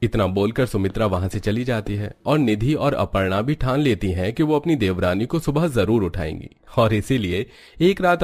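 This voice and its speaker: Male narrator for animated family tales, low register, scripted storybook delivery.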